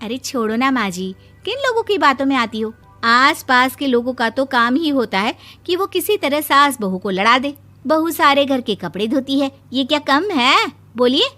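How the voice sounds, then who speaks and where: Woman acting a high-pitched, melodramatic young bride. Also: sing-song, moderate pace, hardly any pauses, bright, forceful tone, full character voice. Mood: coaxing. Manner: melodramatic, exclamatory. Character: young bride obsessed with washing clothes, theatrical and indignant.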